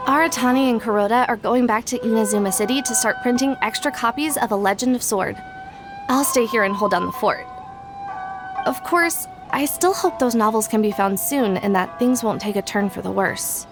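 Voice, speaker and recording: A woman's voice: fairly high pitch, moderate pace, over music, lightly acted. A woman playing a polite, dutiful publishing house worker in a video game, with a formal manner. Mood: concerned.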